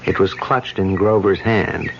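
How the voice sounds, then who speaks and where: Persistent police lieutenant, calm, probing, vintage radio drama, muffled, hissy. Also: male voice, low-pitched, unhurried, gravelly. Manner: calm, probing, matter-of-fact. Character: persistent, skeptical police lieutenant, adult man. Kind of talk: 1970s radio drama acting.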